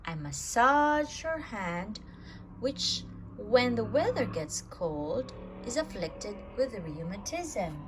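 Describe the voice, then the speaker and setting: Slow, expressive woman reading a picture book. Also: medium pitch, background noise. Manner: slow, expressive storytelling with a swinging voice. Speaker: storytime reader.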